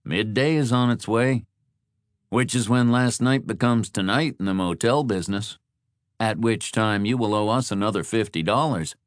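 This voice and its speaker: A man voicing a smooth, controlling motel host in an audiobook, coldly polite, measured and condescending. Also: on the low side, no echo, studio quality, voice pushed up, close to an everyday voice. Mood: quiet menace.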